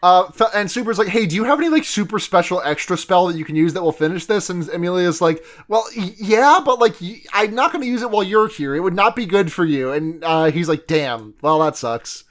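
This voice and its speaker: A man's voice: high voice, lively intonation, a little fast, few pauses. A young man co-hosting a podcast, casually recapping an anime episode, rambling and correcting himself, jokingly acting out characters' lines. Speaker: podcast co-host.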